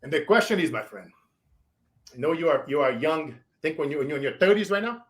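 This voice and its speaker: A man in his forties with a Brazilian accent, hosting a show and giving emphatic motivational shout-outs. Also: on the high side, lively intonation, fast. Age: 40s. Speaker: show host. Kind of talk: motivational interview wrap-up.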